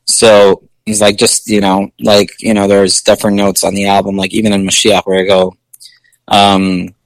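A male singer with a low speaking voice, chatting casually in an interview with many fillers and restarts. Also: monotone, normal speed, dry recording, poor audio.

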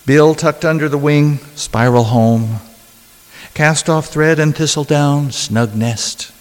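A man reading his own poetry and talking about it, in a deliberate, measured way with dramatic pauses. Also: a little high. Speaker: poet reading own work. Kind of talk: poetry reading with spoken asides. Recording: long hall reverb.

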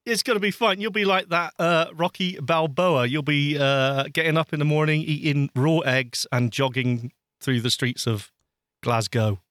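A man's voice: a little high, fairly even intonation, moderate pace, a bit bright, no room sound, clean studio sound. A man co-hosting a podcast in casual, jokey chat, teasing as he talks. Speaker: podcast co-host.

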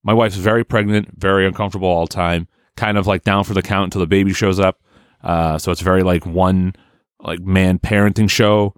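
Man in his thirties, booming voice, lowish pitch, dry close podcast mic, casual swearing. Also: steady tone, fairly quick, clean tone, no echo. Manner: profane, loud storytelling, booming voice. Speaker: podcast co-host. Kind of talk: casual chat.

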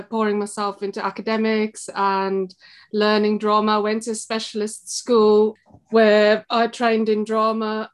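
Podcast guest: middle-aged South African woman, monotone delivery, reflective and halting. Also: fairly high pitch. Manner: thoughtful, halting with fillers and restarts. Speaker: guest, shop co-owner. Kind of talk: casual interview chat.

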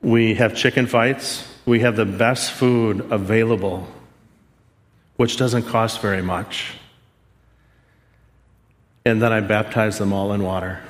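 A man's voice: lowish voice, fairly even intonation, slow, pauses often. A man giving a sermon, telling a personal story in an earnest, self-deprecating way. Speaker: preacher. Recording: long hall reverb.

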